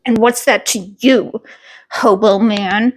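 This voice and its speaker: A woman's voice: on the high side, steady tone, slow, low-quality recording. Lady doing a bratty bully kid, scoffing, curt, rude, fanfic audiobook. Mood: defiant. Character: brash, scornful bully boy, rude and defiant.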